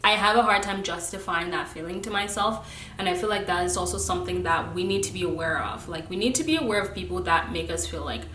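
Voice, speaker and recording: A woman's voice: lowish voice, expressive, quick pace, a bit bright, a little hiss or hum. A young woman vlogger giving a candid, emphatic talk about friendships, sometimes preachy and breaking into laughter. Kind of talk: candid casual rant to camera. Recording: echoey room.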